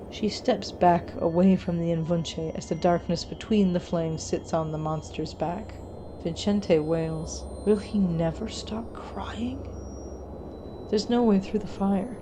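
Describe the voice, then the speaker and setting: A woman reading a scripted horror story in a measured, dramatic storytelling manner. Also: on the low side, background noise. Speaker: story narrator.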